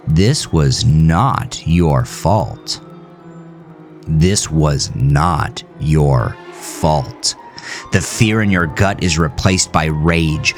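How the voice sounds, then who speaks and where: A man with a low voice reads dramatic horror narration. His storytelling is slow, deliberate and suspenseful, with music clearly running underneath.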